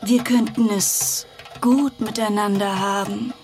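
A woman's voice: mid-range voice, fairly even intonation, slow, darkish tone, faint music behind. A woman playing a suspicious, insistent journalist in a radio drama. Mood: pleading.

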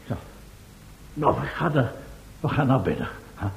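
A man's voice: lively intonation, a little slow, creaky. A man playing a testy, bossy professor in a radio play, impatient, sarcastic and commanding. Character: testy, bossy male professor leading an expedition. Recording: roomy, faintly noisy old radio recording with rumble.

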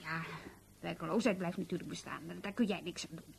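An actress playing an excitable, credulous housewife in a Dutch radio play, animated and prone to stammering. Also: female voice, on the low side, fast, creaky, a little hiss or hum. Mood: excited, insistent. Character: excitable, credulous housewife doting on her jobless husband.

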